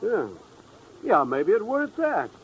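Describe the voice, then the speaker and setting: Folksy, lively old radio businessman. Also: high-pitched, takes it slowly, heavily acted, poor audio. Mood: genial, approving. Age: older. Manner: folksy, plain-spoken. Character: folksy, genial older businessman, future father-in-law. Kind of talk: 1950s radio drama acting. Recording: old radio recording, faint noise.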